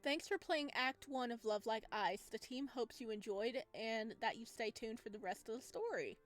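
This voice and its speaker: A woman's voice: a little high, moderate pace, faint music behind. A woman giving casual, exclamatory playthrough commentary on a game, thinking aloud as she goes. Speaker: game streamer playing a visual novel.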